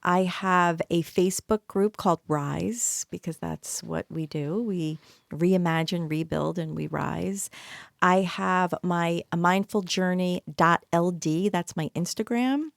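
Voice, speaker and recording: A middle-aged woman, a life coach, talking in a calm, soothing way as a guest on a podcast. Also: lowish voice, slow, gravelly, no room sound, studio quality. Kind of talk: casual podcast chat.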